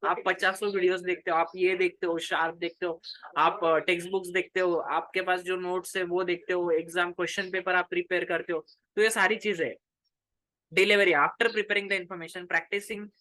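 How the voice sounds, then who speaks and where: An Indian man gives an informal lesson in a bright, forceful voice. He mixes Hindi with English and talks straight to his students. His wording is colloquial and chatty, like a tutor at ease with a class. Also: high voice, flat delivery, moderate pace, rough recording. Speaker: commerce tutor.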